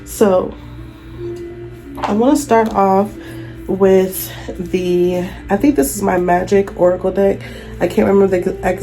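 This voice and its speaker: Stuffy-nosed woman reading tarot, mellow. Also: medium pitch, low-quality recording. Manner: chatty, affirming, checks in with "okay?". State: stuffy from a cold. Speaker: tarot reader. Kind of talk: casual tarot reading vlog. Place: her bedroom. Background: jazz music playing.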